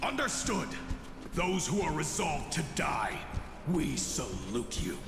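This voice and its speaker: An earnest, loyal soldier speaks formally and ardently, with big swings in pitch, as in a game cutscene. Heavy echo and loud music surround him. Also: male voice, wildly swooping pitch, slow, gravelly, heavily acted. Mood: dutiful. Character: loyal, earnest male military officer reporting to his ruler. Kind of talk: scripted game cutscene acting. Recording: echoey hall reverb under loud music.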